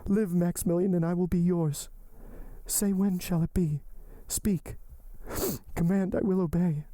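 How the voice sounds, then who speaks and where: A man's voice: high voice, steady tone, a little slow, mellow, no room sound, pitched above the performer's own voice. A man reading an audiobook, voicing a devoted young woman with a trembling, imploring delivery. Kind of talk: audiobook character voice.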